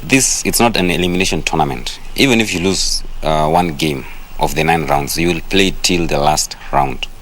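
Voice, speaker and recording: A man with a measured manner, explaining tournament rules in an interview. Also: rumbling low, fairly bright tone, slight background noise.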